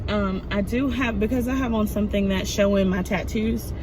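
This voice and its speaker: A woman in her thirties chats casually to her phone camera while standing outdoors by her car. Her voice is slightly raspy after a coughing fit, and she rambles with many fillers. Loud street and traffic noise runs behind her. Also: mid-range voice, moderate pace. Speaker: nursing student vlogger. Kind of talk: casual vlog chat.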